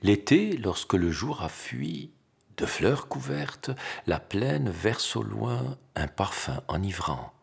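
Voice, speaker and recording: A man gives an expressive recitation of poetry in a low, husky voice. His intonation swings widely, with theatrical, declamatory phrasing and dramatic pauses that let each line stand apart. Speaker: poetry reader.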